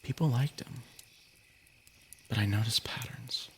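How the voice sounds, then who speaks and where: A man with a gravelly, creaky voice casually reading stories as a livestream host, informal and soft-edged. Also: mid-range voice, unhurried.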